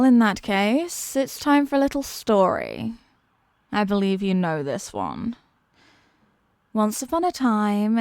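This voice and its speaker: A woman playing a menacing, mocking demon villainess in a fantasy audio roleplay, theatrical and taunting, with deliberate dramatic pauses. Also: mid-range voice, creaky, a little mellow, no echo, studio quality. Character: possessing demon villainess, menacing, mocking, tormented by borrowed love.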